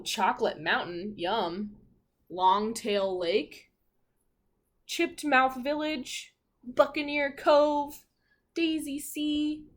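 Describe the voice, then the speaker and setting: A woman primary school teacher reading a picture book aloud to children, expressive and instructive, pausing to put questions to the class. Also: on the high side.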